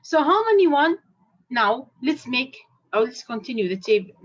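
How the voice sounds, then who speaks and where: A math teacher, speaking in a fairly high voice to her class. She talks at an unhurried pace and keeps asking the students questions as she builds up the lesson. The recording sounds dull and lo-fi. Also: very animated. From Jordan. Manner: slow, repetitive questioning of students.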